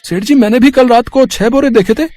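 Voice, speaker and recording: A man's voice: extremely high pitch, fairly quick, dark tone, dry recording, pitched above the performer's own voice. A man voicing a sly, scheming male shop worker in a moral story. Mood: accusing.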